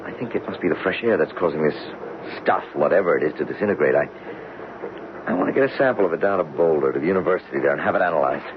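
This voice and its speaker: An actor playing a curious, easygoing geologist in a radio drama, thoughtful and measured. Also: male voice, rumbling low. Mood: absorbed. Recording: noisy, muffled old radio broadcast.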